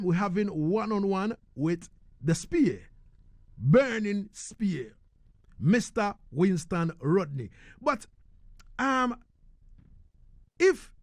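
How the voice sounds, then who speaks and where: Male radio host, Ghanaian accent. High, lively, emphatic, repeats himself into each question; dry studio sound. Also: dry recording. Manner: emphatic, halting repetitions as he builds questions. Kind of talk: live radio interview. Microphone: close studio microphone.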